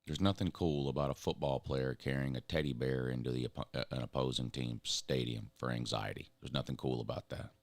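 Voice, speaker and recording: A man with a drawl and a very deep voice, worn raspy and hoarse. He rants bluntly and swears freely as a show host. The sound is dry and close. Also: fairly even intonation, a little fast, hardly any pauses, no room sound. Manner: blunt and profane, ranting. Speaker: show host reading chat. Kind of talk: casual sports rant.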